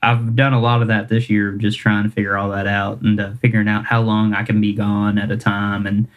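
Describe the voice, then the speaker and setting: Singer-songwriter guy, kinda low voice, rambly and self-deprecating, chuckles a lot. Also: monotone, a little fast, narrow, tinny audio. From Kentucky, US. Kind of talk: casual interview chat.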